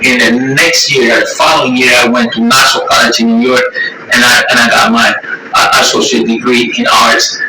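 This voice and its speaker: A man's voice: mid-range voice, level tone, moderate pace. Middle-aged man, rambling testimony. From Ecuador. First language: Spanish. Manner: rambling, repeats words, swears. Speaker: interviewee sharing recovery story. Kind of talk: candid personal testimony. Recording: echoey room from far off, heavy noise.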